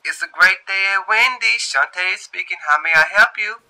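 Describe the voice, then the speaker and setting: A man voicing a sassy, brisk young woman who works in fast food, talking in slang, in a storybook reading. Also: high-pitched, pushed and bright, voice pushed up. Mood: customer-service cheer. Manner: slangy, informal.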